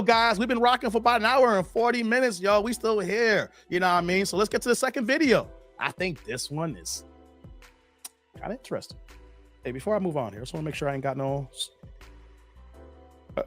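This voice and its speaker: A hoarse man hosting a casual livestream, slangy and chatty, greeting viewers by name. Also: high voice, animated, fast talker, a bit bright, soft background music. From Chicago area, Illinois. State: hoarse, losing his voice. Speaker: livestream host.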